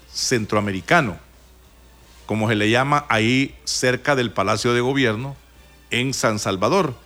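A Honduran man holds forth on his radio talk show, rambling and restarting as he explains things at length. His voice is bright and forceful, with faint music playing underneath. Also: medium pitch. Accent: Honduran Spanish. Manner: rambling, storytelling with frequent restarts and fillers.

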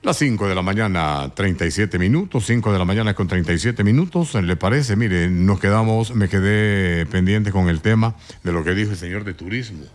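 A man hosting a morning radio talk show, commenting with indignant emphasis and sudden rises in pitch. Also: expressive, moderate pace, hardly any pauses.

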